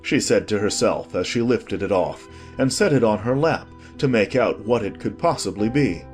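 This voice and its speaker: A man's voice: lowish voice, lively intonation, normal speed, faint music behind. A man reading scripted storybook narration as the narrator of a dramatized audiobook.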